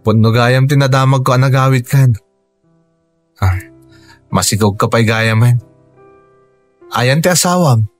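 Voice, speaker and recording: A male actor plays a soft-spoken Ilocano man in a radio drama. He is forgiving and gentle, speaking at a middle pitch over faint music. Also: unhurried, pauses often, natural voice. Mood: gentle, reassuring. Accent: Filipino, Ilocano. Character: forgiving, soft-spoken Filipino man, son and former sweetheart.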